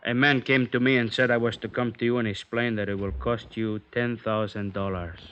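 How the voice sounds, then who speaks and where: An actor playing a proud, scornful guitar player, speaking in a measured, mocking way in a 1950s radio drama. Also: male voice, medium pitch, level tone, a bit bright, clean tone, natural voice. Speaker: blackmail go-between. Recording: dull lo-fi 1950s radio broadcast.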